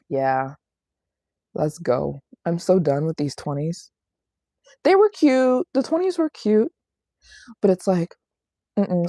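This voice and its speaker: Woman, late twenties, low mellow voice, casual livestream chat, playful and chatty, travel vlogger. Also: creaky, dry recording, studio quality. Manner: chatty, playful, repeats phrases for emphasis. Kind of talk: casual livestream chat answering viewer questions. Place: small apartment living room in Japan.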